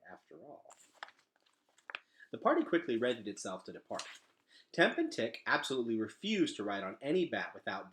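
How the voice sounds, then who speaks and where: A man reading a children's novel aloud as an expressive storyteller. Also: on the low side.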